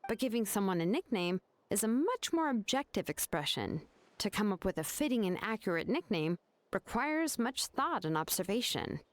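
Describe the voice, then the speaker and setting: A woman's voice: medium pitch, big pitch swings, moderate pace, no room sound, natural voice. A woman playing a composed young craftswoman in a video game, laid-back yet exacting, explaining things casually. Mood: matter-of-fact, explaining. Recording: game music behind the voice.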